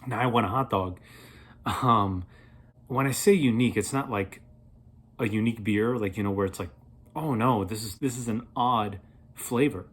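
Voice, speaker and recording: A man in his thirties reviewing a product in a casual, playful way, rambling as he thinks aloud, with a slightly husky voice. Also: lowish voice, moderate pace, long pauses, fairly bright tone. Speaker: beer reviewer, vlog host.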